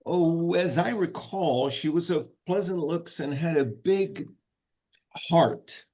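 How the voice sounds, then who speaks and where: A man reading the part of a mild, literal-minded older monk at a table read, earnest and deadpan. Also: fairly high pitch, takes it slowly. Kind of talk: informal script table read.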